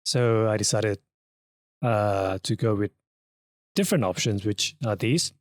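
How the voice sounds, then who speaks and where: A man's voice: lowish voice, measured pace, no echo, studio quality. A man co-hosting a podcast, chatting casually and informally. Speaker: podcast co-host and audio editor. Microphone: close podcast microphone.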